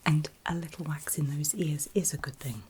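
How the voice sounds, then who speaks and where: Asmr lady doing a medical exam roleplay, all soft breathy whispers, super gentle and reassuring, bit of hiss. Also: low voice, moderate pace. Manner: gentle, reassuring, checking in often. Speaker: ASMR performer playing an ear examiner. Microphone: close ASMR microphone.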